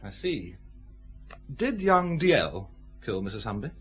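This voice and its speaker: An actor playing a genial, proud boss of a small firm, chatty and expansive in an audio drama. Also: male voice, lowish voice, very animated, a little slow, fairly bright tone, slightly rough, full character voice. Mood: thoughtful. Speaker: actor in a radio detective drama.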